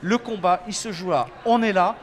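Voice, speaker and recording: High-voiced French man, animated and halting. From France. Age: 50s. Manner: animated, hesitant with many fillers. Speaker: association organizer. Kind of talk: casual panel chat.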